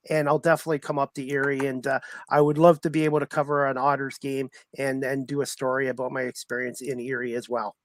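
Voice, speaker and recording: A male host of a sports podcast with a slightly high voice and a dry, echo-free sound. He chats in a casual, informal interview style, often circling back over his words. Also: fairly bright tone. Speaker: sports talk show host.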